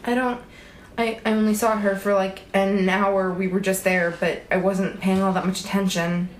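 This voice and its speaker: A young woman playing an earnest college student in an audio drama, talking casually in a halting, questioning way. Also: medium pitch, little pitch movement, close to an everyday voice. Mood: hesitant. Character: earnest, hesitant young college student, thief's incredulous friend. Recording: roomy echo, faint background noise.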